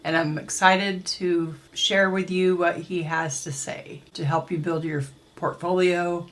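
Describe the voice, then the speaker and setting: A woman's voice: lowish voice, little pitch movement, unhurried, fairly bright tone. Upbeat woman podcast host. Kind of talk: podcast interviewing.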